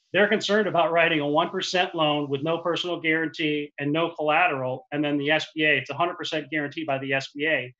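Man in his forties, lender giving a webinar over a headset; forceful bright tone, restarts often, lo-fi call audio. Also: on the high side. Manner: explanatory, with frequent restarts. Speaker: commercial lender. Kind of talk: webinar presentation. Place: office with printed backdrop. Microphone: headset boom mic on a video call.

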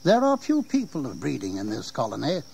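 An actor playing a genial, snobbish colonial governor in a historical audio drama, patrician and condescending. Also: male voice, medium pitch, huge pitch swings, brisk, creaky, darkish tone. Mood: genial, patronizing. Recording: noisy 1980s audio drama tape.

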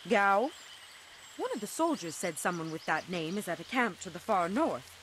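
A woman playing a sharp-tongued, indignant wife freed from captors in a video game, with a biting, sarcastic manner. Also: lowish voice, lively intonation, moderate pace, slight background noise, natural voice.

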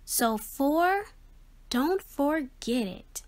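A woman's voice: high voice, animated, extremely slow, full character voice. A woman voicing a kind, encouraging female fish schoolteacher in a storybook, reassuring in manner. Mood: cheerful, encouraging.

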